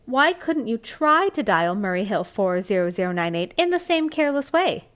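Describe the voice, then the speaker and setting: A woman's voice: medium pitch, sing-song, moderate pace, fairly bright tone. A woman acting a frantic, demanding caller on the telephone in an old-style radio thriller, stammering and indignant. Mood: exasperated, demanding. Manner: breathless stammering, indignant, exasperated sighs.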